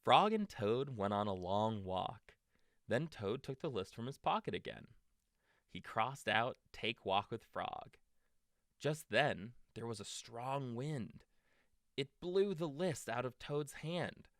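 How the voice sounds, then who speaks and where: Male storytime reader, bedtime narration for little ones, very slow delivery, close dry sound. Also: mid-range voice, expressive, no echo.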